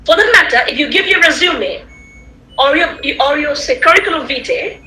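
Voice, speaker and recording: A woman whose first language is Tamil, giving an animated online lecture to students, repeating points and checking that they follow. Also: sits high, normal speed, slight background noise. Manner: animated, repetitive, rhetorical questions to listeners. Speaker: guest lecturer. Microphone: computer mic on a video call.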